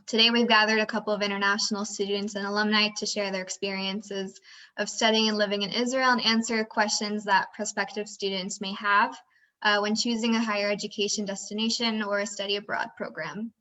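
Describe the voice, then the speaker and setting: A woman's voice: medium pitch, little pitch movement, brisk, bright, forceful tone. A woman moderating an online Q&A panel, calling on speakers in a friendly, organized way with some fillers. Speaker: moderator of a student Q&A.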